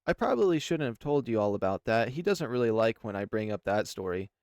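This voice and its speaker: A man voicing a shy, nervous, gentle young animal character in a visual novel, speaking in a hesitant, apologetic, soft-spoken way. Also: on the low side, big pitch swings, brisk, no room sound, studio quality. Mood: sheepish, apologetic.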